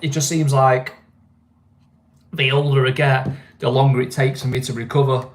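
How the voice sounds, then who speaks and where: A man's voice: medium pitch, level tone, moderate pace, roomy sound. A man with a Mancunian accent, chatting casually on a stream and often asking "you know what I mean". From England. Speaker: vlogger. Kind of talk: casual live-stream chat.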